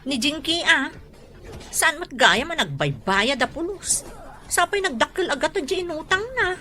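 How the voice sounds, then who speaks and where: A woman's voice: high-pitched, very animated, moderate pace, pushed and bright, soft background music, heavily acted. A woman playing a firm grown woman who scolds friends over unpaid loans, in a radio drama. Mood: weary.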